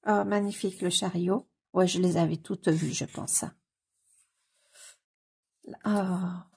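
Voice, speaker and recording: A woman with a cold talks slowly and casually, her voice very husky with a gravelly edge and a dark, mellow tone. She gushes over what she sees, repeats her delight, and often hesitates on fillers. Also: lowish voice, fairly even intonation. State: has a cold. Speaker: coloring book YouTuber. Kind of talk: casual commentary while leafing through a book.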